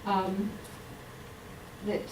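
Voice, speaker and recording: A woman giving an earnest, explanatory public health talk, with frequent pauses and ums. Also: noisy background. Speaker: health speaker. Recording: roomy hall mic from a distance.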